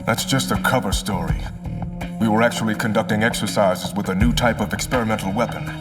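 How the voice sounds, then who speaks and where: A man plays a wary older official held captive in a game. His voice is fairly low and grave, with dramatic weight. It sounds slightly distant, with clear music beneath. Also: fairly even intonation, fairly quick, lightly acted. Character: wary older male agency chief, imprisoned hostage. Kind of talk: dramatic video game voice acting.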